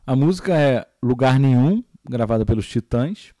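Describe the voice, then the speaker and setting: A man's voice: medium pitch, animated, normal speed, dark tone, dry recording, studio quality. A man with a Brazilian Portuguese accent, teaching a recorded geography lesson and explaining step by step, with many fillers and tag questions.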